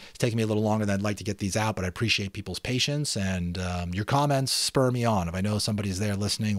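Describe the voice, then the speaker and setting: A man reading a novel dramatically as an amateur narrator and host, expressive and reflective. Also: low-pitched, slightly rough, no room sound. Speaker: amateur audiobook reader and channel host. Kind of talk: dramatic narration with casual commentary.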